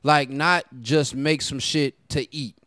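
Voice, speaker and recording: A man's voice: fairly high pitch, steady tone, takes it slowly, bright, forceful tone, clear, resonant tone, no echo, studio quality. A man co-hosting a podcast in casual chat, blunt and joking, swearing freely.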